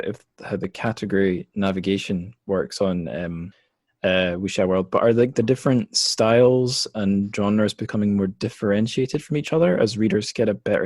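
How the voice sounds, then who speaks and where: A man's voice: fairly low pitch, moderate pace, a little mellow, dry recording. Hesitant, curious man hosting, dry close sound. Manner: hesitant, self-interrupting, curious. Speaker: podcast host. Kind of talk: casual interview.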